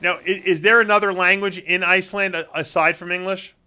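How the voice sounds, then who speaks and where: Male host, middle-aged, slightly high, bright forceful voice, teasing and chatty with stumbling restarts. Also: steady tone, a little fast, clear, resonant tone. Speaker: interviewer, show host. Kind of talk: casual interview.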